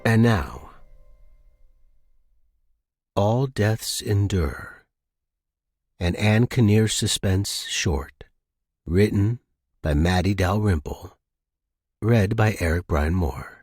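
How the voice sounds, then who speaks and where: A man with a low, husky voice works as a podcast host and story narrator. The sound is close and very dry, suited to storytelling. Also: expressive, very slow, pauses often, a little mellow, no echo. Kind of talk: casual intro and scripted audiobook narration.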